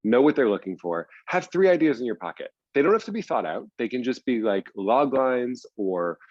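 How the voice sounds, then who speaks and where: A man's voice: mid-range voice, sing-song, moderate pace, darkish tone, no echo, lo-fi sound. A man answering as an interview guest in a conversational, explanatory way, stressing points by repeating them. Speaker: guest, screenwriter and audio drama producer.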